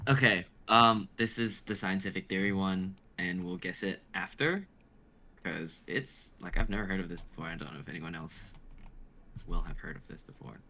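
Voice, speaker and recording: Casting: man in his twenties, slightly low, bright voice, casual script narrator. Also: normal speed, gravelly, slight background noise. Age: 20s. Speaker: writer and narrator of a script reading. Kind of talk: casual chat and stage-direction narration.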